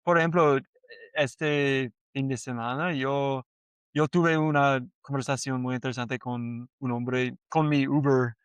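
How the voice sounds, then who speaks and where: Soft-spoken man, clear and unhurried. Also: mid-range voice, fairly even intonation, no echo. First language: English. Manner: hesitant, many restarts and yeses. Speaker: podcast co-host. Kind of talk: casual conversation in learner Spanish.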